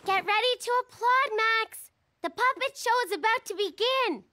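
Very high-pitched bossy bunny girl. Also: normal speed, pushed and bright. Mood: excited announcing. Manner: organizing, instructive, earnest. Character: bossy, cheerful young girl bunny, big sister. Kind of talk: children's cartoon voice acting.

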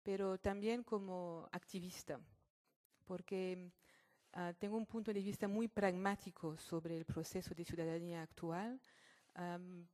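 A woman speaks to a forum audience in a slightly low voice. Her Spanish has a non-native accent. She delivers her lecture haltingly, often pausing to search for the next word. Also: steady tone, darkish tone. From born in Morocco, French mother, Catalan family. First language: not Spanish; French-schooled. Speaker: guest speaker, sociologist and activist.

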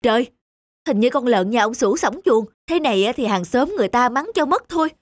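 A woman's voice: on the high side, expressive, brisk, lightly acted. An actress voicing a plump older village woman in a cartoon, sensible, frank and chiding. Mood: concerned, frank. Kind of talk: animated moral tale voice acting. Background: background music.

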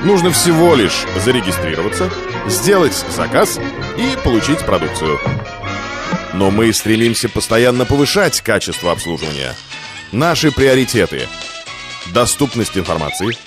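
A man reading a scripted corporate promotional narration in measured, deliberate phrases. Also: mid-range voice. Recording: music under the voice. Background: music.

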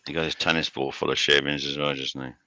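A man's voice: extremely low pitch, little pitch movement, fast, bright, forceful tone, dry recording. A man making casual, bantering comments as a guest on a live stream.

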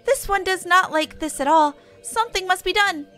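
A woman's voice: very high-pitched, normal speed, a bit bright, faint music behind, voice pushed up. A woman voicing a small, earnest, worried forest sylph elder from a fantasy game, speaking in a quaint third-person manner. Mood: alarmed.

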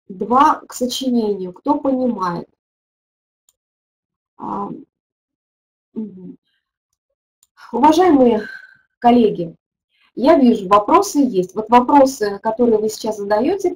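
A woman's voice: mid-range voice, darkish tone, a little hiss or hum, poor audio. A middle-aged woman, a teaching methodologist, leading a webinar and answering viewer questions, thinking aloud with frequent hesitations.

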